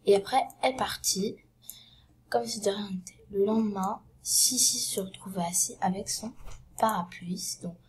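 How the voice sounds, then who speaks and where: A woman with a cold retelling a story in a casual, halting way, often dropping to a hushed half-whisper and filling pauses with fillers. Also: mid-range voice, a little hiss or hum. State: has a cold.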